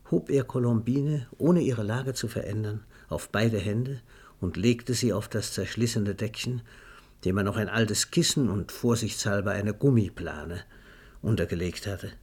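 Ein Mann erzählt in einem Hörspiel eine literarische Geschichte, ausdrucksvoll, genau und mit leiser Ironie. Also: male voice, fairly low pitch, darkish tone, husky. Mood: quiet, tender. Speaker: narrator. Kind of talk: scripted literary narration for a radio play.

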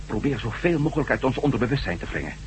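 Man playing a lively-pitched adventurer reporter. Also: racing, slight background noise, natural voice. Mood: cooperative. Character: adventurous male reporter, cooperative and wry. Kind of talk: radio drama acting.

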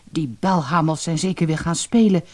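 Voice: mid-range voice, moderate pace, a little mellow, close to an everyday voice. A storyteller voicing a worried village father in a fairy tale, dramatic and lamenting. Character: anguished, worried village father of seven sons.

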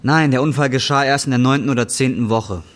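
A man's voice: medium pitch, fast, a bit bright, close to an everyday voice. An actor playing a bitter, defiant, broke injured roofer in his twenties, in a German radio drama. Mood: matter-of-fact. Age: 20s. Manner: embittered, colloquial, confrontational. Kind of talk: German radio drama acting and brooding first-person narration.

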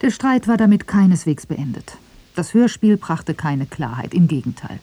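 A woman's voice: lowish voice, sing-song, moderate pace, dark tone. A woman narrating a scripted radio feature in an argumentative style. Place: dry radio studio.

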